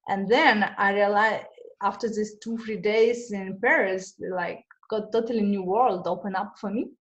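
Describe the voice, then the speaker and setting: A woman's voice: mid-range voice, fairly even intonation, measured pace, dull audio. A woman from Russia who speaks, answering interview questions in a hesitant way, with many fillers and restarts. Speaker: interview guest. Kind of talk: conversational interview.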